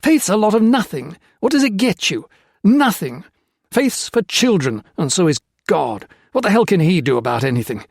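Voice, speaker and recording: A man reading a story voices a young officer who rants and shouts in hysterical fury. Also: sits high, very animated, normal speed, vocal fry, slightly rough, dry recording, clean studio sound, pitched above the performer's own voice, exaggerated voice. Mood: raging. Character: young British officer, feverish, furious, ranting.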